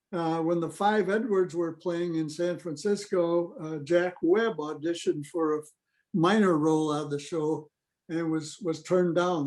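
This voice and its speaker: Man, fairly high voice, informal anecdotes, hesitant phrasing, club presenter, video call. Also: darkish tone. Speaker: club presenter on radio history. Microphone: video call microphone.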